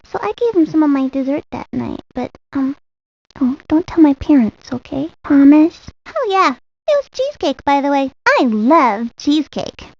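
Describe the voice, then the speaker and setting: A woman's voice: high voice, very animated, normal speed, darkish tone, no echo. A woman voicing a sweet little ballerina girl in a cartoon video game, girlish and confiding, rambling like she shares secrets. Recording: dull lo-fi 1990s game audio.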